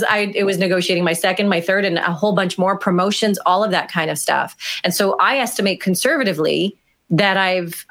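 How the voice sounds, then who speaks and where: A woman author being interviewed, talking in an animated, emphatic way with small fillers. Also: mid-range voice. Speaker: author and guest. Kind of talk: conversational interview answers.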